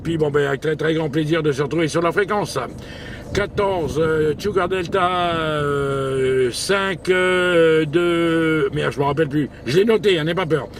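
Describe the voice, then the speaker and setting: A man with a fairly high voice chats casually as a radio operator. His delivery is informal and hesitant, sprinkled with slang. He is recorded outdoors with a light layer of background noise. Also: a little slow. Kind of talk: casual radio contact chat. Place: outdoors, mobile radio spot by a vehicle. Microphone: handheld camera picking up the voice. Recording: faint outdoor and vehicle noise.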